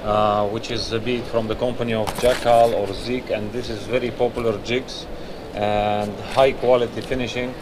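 A man's voice: lowish voice, little pitch movement, moderate pace. A man in his thirties hosting a walkaround review of a fishing expo, talking with many "uh"s and restarts, recorded on a chest-mounted camera in a large indoor exhibition hall. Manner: filler-heavy, frequent "uh" and restarts. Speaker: fishing channel host. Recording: noisy expo hall ambience. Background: hall ambience and air conditioning hum.

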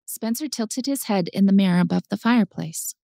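A woman's voice: medium pitch, animated, a little fast, gravelly, dark tone, dry recording, studio quality. A woman narrating a story for an audiobook in a scripted style.

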